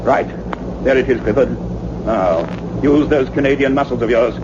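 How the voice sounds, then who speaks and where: A man's voice: mid-range voice, moderate pace, close to an everyday voice. An actor playing a commanding, urgent male scientist in a sci-fi radio play, speaking in an imperious, declamatory way. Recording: muffled, noisy old radio drama recording.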